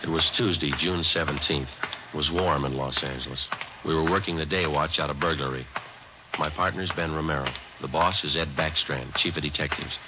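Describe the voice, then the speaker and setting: Brief: a clipped, very deep-voiced police sergeant, old noisy radio transcription. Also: male voice, fairly quick. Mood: matter-of-fact. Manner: clipped, flat, matter-of-fact delivery. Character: terse police sergeant narrating his case, adult man. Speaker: narrator and lead detective. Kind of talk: 1950s radio drama acting.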